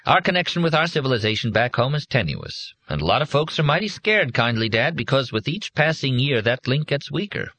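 A man voicing a tense, shaky repairman who talks in an edgy, irritable way and nearly shouts. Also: medium pitch, very animated, a little fast, few pauses, dry recording, voice pushed up, heavily acted.

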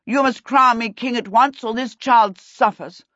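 A woman's voice: fairly high pitch, a little slow, fairly bright tone, no room sound, highs cut off, pitched above the performer's own voice. A woman voicing a haughty, menacing prince in a storybook, imperious and theatrical. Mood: demanding. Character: haughty, menacing villain prince, male, dramatic and disgusted.

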